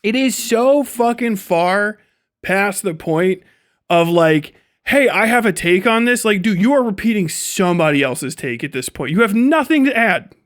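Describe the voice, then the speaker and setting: Higher-voiced, foul-mouthed male streamer. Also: lively intonation, measured pace, no echo, studio quality. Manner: foul-mouthed, frequent heavy swearing. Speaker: livestream host and content creator. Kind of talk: casual livestream chat, ranting. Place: indoor home streaming setup. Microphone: close studio microphone.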